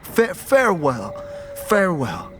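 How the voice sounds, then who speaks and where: A man playing a broken, frightened, simple-minded vagrant in an audio drama, stammering and halting as he muddles through, speaking of himself in the third person. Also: on the high side, huge pitch swings, noisy background, heavily acted. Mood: wistful.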